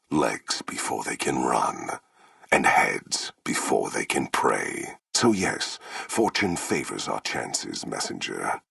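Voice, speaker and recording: An actor playing a merciless, imperious warlord in a video game, with a gravelly, husky voice and grandiose, menacing, formal speech. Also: male voice, rumbling low, fairly bright tone, dry recording, clean studio sound, heavily acted. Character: merciless, imperious warlord commanding a slave army. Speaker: army commander. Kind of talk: theatrical video game villain dialogue.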